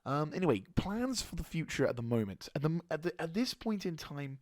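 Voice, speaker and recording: A man with a slightly hoarse throat giving a casual channel update as a gaming YouTuber, rambling and halting with frequent restarts. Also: on the high side, sing-song, no echo, studio quality.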